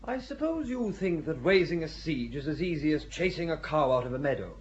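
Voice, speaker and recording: A man's voice: a little high, big pitch swings, a little fast, highs cut off, a little hiss or hum. A man playing a blustering, wavering squire. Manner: blustering, hesitant, exasperated. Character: blustering, self-doubting squire commanding a castle. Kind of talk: radio drama acting.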